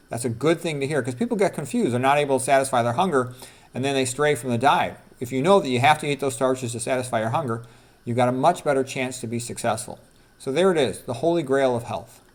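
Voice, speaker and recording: A man presenting an informal lecture, reading out quotes and adding his own asides, emphatically repeating key points and putting questions to the listener. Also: medium pitch. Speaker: presenter, medical doctor.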